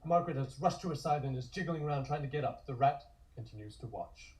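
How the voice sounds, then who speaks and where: Male narrator for a comic script read, dark, relaxed tone, mid pitch. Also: fast, poor audio. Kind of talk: scripted scene narration, present tense.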